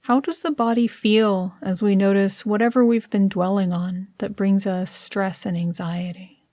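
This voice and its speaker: Woman doing guided meditation, calm measured soothing voice, mid pitch, really dry recording. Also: no room sound. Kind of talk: scripted guided meditation narration.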